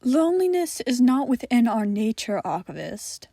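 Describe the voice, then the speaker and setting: An eerie male creature from a horror story, voiced by a woman reader. The delivery is deliberate and ominous, with menace under a friendly offer. The sound is dry and close. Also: on the high side, big pitch swings, vocal fry, dark tone, no room sound, heavily acted. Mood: ominous warning.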